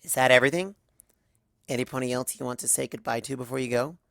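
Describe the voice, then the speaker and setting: An earnest, curious pony mare, voiced by a male reader, polite and hesitant in a slightly lifted pitch. It is storybook character work, recorded dry and close. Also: fast talker, vocal fry, fairly bright tone, dry recording. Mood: concerned.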